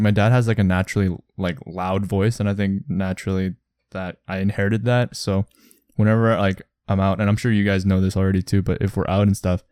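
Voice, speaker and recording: A man's voice: low-pitched, darkish tone, dry recording, studio quality. A young man chatting casually as a podcast co-host, easygoing with lots of fillers. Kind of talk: casual chat.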